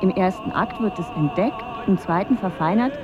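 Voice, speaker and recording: A woman's voice: mid-range voice, lively intonation, normal speed, muffled recording, crowd noise, lightly acted. A woman playing an earnest explainer of absurd matters in a literary radio drama.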